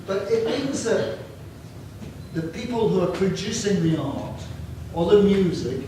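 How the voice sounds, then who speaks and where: Brief: Scottish man in his sixties, high voice, unhurried, joking about himself; distant, echoey room with noise behind. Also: lively intonation, warm tone. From Scotland. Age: 60s. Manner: self-deprecating, chatty, asks the audience questions. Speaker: storyteller presenting his artwork. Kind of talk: informal talk to students.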